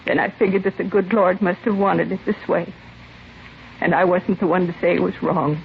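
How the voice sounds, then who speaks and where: An American woman acting the part of a grieving, resigned mother in her late forties, subdued and halting, in a 1950s radio drama. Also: on the low side, steady tone, vocal fry. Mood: sorrowful, resigned. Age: middle-aged. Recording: dull, noisy vintage radio recording.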